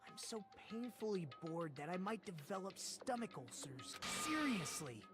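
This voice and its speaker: Languid, mocking high-voiced teenage boy. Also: very animated. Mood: bored disdain. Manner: languid, drawn-out, condescending. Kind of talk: anime video game voice acting. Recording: game music playing behind the voice.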